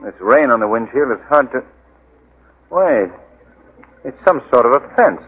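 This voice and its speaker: A cultured gentleman adventurer, self-possessed and protective. His medium-pitched voice moves widely in melody, in the 1940s radio manner. The recording is muffled with some noise. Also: lively intonation, normal speed, breathy edge. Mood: curious. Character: composed, educated gentleman adventurer, calm and protective. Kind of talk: 1940s radio drama acting.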